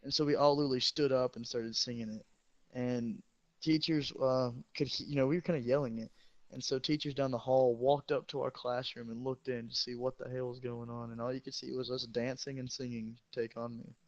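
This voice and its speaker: Gravelly teenage guy, muffled echoey mic. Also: mid-range voice, fairly even intonation, fairly quick, darkish tone. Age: teenager, high schooler. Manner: crude, joking, rambling. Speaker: high school football player telling stories. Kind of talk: casual anecdote telling among friends.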